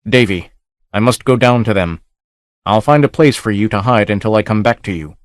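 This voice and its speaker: Man doing a gruff, blunt barbarian chief. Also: on the low side, moderate pace, dry recording, studio quality, lightly acted. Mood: determined.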